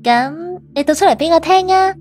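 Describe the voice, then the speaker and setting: A man's voice: very high voice, normal speed, a little mellow, faint music behind, voice pushed up. A man voicing a jealous young woman in an audiobook, pleading and demanding in a girlish, coquettish way. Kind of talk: audiobook character voice.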